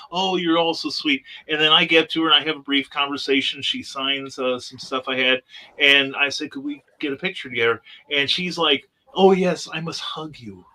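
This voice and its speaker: A middle-aged man with a very bright, sharp, forceful voice. He tells anecdotes casually and wryly, as a guest on a panel, acting out the quotes. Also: fairly high pitch, poor audio. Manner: wry, joking, acts out quotes.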